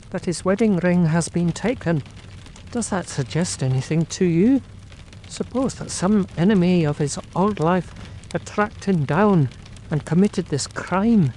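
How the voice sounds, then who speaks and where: A performer acting a police inspector in a period mystery drama. The voice is mellow and soft-edged, probing yet courteous and formal, with some noise behind it. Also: mid-range voice, close to an everyday voice. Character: polite, probing male police inspector questioning a witness.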